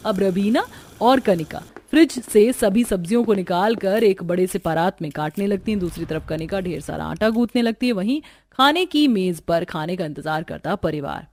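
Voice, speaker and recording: A woman's voice: medium pitch, expressive, normal speed, a little mellow. A woman giving scripted narration for a cartoon family story.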